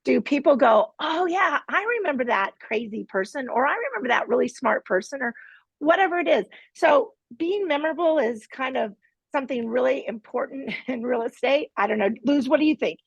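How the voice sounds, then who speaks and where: A woman's voice: high voice, lively intonation, fairly quick, creaky, slightly rough, no room sound, muffled recording. A woman hosting a live online master class from her home studio, with a playful, teasing manner. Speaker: host and team leader.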